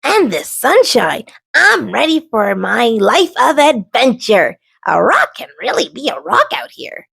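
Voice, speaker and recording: A woman performs an excitable young male rock from a children's storybook. The voice sits high and leaps about with dramatic, restless longing for adventure, close and dry. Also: a little slow, vocal fry, few pauses, slightly rough, dry recording, heavily acted. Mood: excited, cheerful. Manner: dramatic, wide-eyed and excitable. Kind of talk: children's storybook read-aloud.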